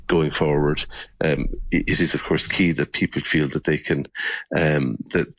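A man with an Irish accent answering questions in a news interview, speaking haltingly and often repeating words mid-sentence. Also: very deep voice, no echo. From Ireland. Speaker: election observer and politician giving interview.

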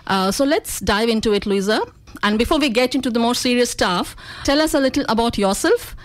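A woman hosting a radio interview show in a studio, welcoming and chatty, with many uhs and quick yeps. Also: a little high. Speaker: radio host.

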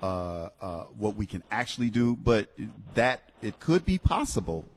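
A man's voice: lowish voice, big pitch swings, measured pace, breathy edge. A man hosting a live radio talk show, encouraging callers in a preachy, faith-filled way. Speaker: radio host.

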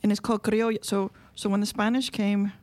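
A woman's voice: mid-range voice, level tone, a little fast, darkish tone, dry recording. A woman from Venezuela, co-hosting a casual chat and explaining things in an encouraging way. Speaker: co-host.

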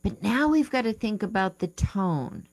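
A woman's voice: mid-range voice, sing-song, measured pace. A woman coaching on the radio, answering listener letters while thinking aloud and correcting herself. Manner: thinking aloud, unsure of terms, self-correcting. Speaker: writing coach and radio host. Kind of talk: advice show talk, reading listener letters.

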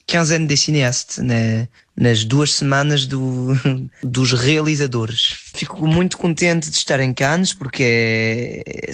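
A seventeen-year-old male actor from France with a French accent speaks slow, hesitant Portuguese, searching for words as a non-native speaker. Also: mid-range voice, dry recording. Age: teens. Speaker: actor interviewed.

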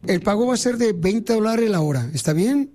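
A man's voice: high voice, animated, moderate pace, mellow. A man playing a rambling, teasing prank caller in a comic phone sketch.

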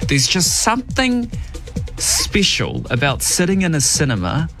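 A man's voice: a little high, huge pitch swings, measured pace, over music. A man with a New Zealand accent hosting a radio show, giving emphatic opinion commentary and reading news headlines. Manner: emphatic, opinionated, varied delivery. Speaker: radio host.

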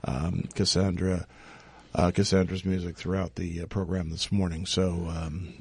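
A man's voice: low-pitched, level tone, normal speed, breathy edge. A man hosting a morning radio panel discussion, talking in a chatty, rambling and self-deprecating way. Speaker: radio panel show host.

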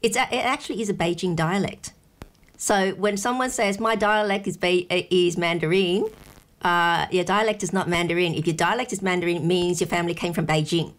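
A woman with a fairly low voice talks through a long explanation in relaxed conversation. She restarts her sentences often and fills pauses with hesitations as she works out each point. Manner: explanatory, with frequent restarts and fillers. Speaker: guest discussing a film. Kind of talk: casual conversation.

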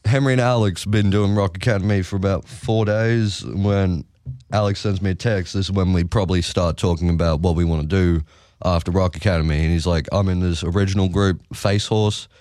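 A teenage boy with a deep voice, a young band member answering interview questions in an easygoing, hesitant way. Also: fairly even intonation, a little fast, a little mellow, no echo, studio quality. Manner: easygoing, hesitant with frequent uhs. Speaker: guest musician.

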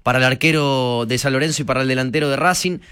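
A man with a mid-range voice delivers the sports round-up on a radio panel. He keeps it steady and matter-of-fact. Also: medium pitch, quick pace, smooth. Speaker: sports columnist.

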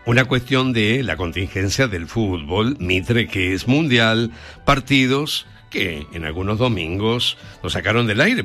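An Argentine man hosting a live weekend radio show, chatting easily with callers and guests. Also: on the low side. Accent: Argentine Spanish. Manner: chatty, warm banter with guests. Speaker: radio host.

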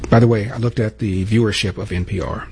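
A man's voice: lowish voice, steady tone, fast, darkish tone. An American man hosting a talk show, delivering political commentary emphatically with rhetorical questions and pointed repetition. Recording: noticeable background noise.

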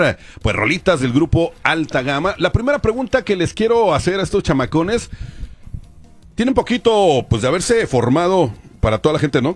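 A man in his forties hosting a casual, upbeat interview with a band, joking and using slang. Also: fairly high pitch. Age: 40s. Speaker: radio show host.

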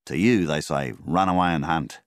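A man voicing a gruff, hungover middle-aged bush farmer who talks bluntly and coaxes his dog. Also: rumbling low, moderate pace, no room sound, clean studio sound. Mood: musing.

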